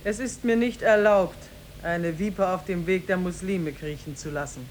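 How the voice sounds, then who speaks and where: An actress playing a stern, commanding woman who rules and judges as king, in an imperious, deliberate 1950s radio drama style. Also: on the low side, darkish tone, lightly acted. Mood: stern, judging. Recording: noisy 1950s radio recording.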